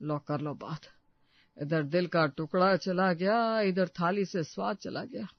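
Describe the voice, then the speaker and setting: A grumbling old father from an audio story, acted by a man. His voice is pitched high and his complaints are theatrical and sarcastic. The sound is close, dry and slightly muffled. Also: fairly even intonation, measured pace, vocal fry, no echo. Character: grumbling elderly father who loves rich food. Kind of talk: Hindi audio story dramatization.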